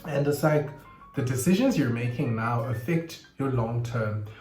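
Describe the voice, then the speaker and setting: Animated young man, roomy echo. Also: a little slow. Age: 20s. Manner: animated, with sudden high squeals and laughs. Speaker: vlogger. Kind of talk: casual confessional chat to camera. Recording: roomy echo, faint guitar music behind. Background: faint guitar music.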